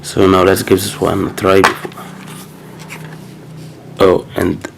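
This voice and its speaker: Low gravelly man with a cold. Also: flat delivery, slow pace, background noise. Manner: hesitant, many fillers and restarts. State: sick with a cold. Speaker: gaming channel host. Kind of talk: casual unboxing commentary.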